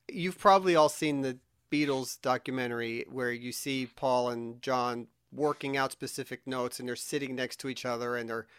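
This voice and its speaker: Smooth-voiced male interviewer, dry sound. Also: medium pitch, fairly bright tone, dry recording. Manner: long, winding questions. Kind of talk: interview questions.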